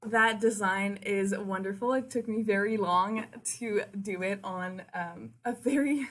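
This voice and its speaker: A woman's voice: medium pitch, a little slow. A woman in her twenties with a French Canadian accent, giving a friendly, conversational presentation for a virtual open house in her second language. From Quebec City, Canada. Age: 20s. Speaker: university liaison officer, presenter.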